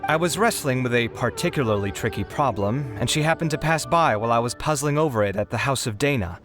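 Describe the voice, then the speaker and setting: A man plays a young male scholar in a video game, mid-pitched and very animated. He is earnest and dramatic, easily flustered, with faint music behind him. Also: fast talker, natural voice. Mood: composed, wry. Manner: dramatic, stammering when put on the spot. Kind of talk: video game character acting.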